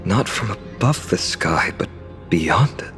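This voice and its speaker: Guarded young fantasy warrior, earnest protector, measured and polite, game voice acting, prominent music underneath. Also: male voice, deep voice, animated, a bit bright, exaggerated voice. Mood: apologetic. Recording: music behind the voice.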